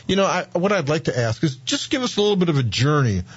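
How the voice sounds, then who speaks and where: A male interview host with a husky voice asks questions in a chatty, affirming way. The sound is dry and close, on a dull lo-fi recording. He nudges the guest along with quick interjections. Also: a little high, big pitch swings, no echo.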